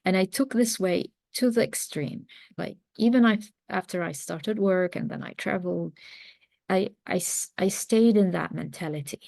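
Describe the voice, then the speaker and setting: A woman's voice: medium pitch, normal speed, gravelly, a little mellow, dry recording. A woman of around forty, giving an earnest, reflective personal talk as a coach. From mountains of Lebanon. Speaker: parenting coach, series host. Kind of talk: personal talk introducing a series.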